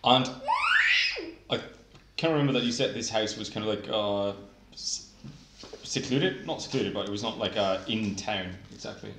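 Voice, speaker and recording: A woman playing a tabletop game with friends, animated and quick to laugh. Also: extremely low pitch. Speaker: player. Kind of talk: casual tabletop play. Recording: echoey, noisy room.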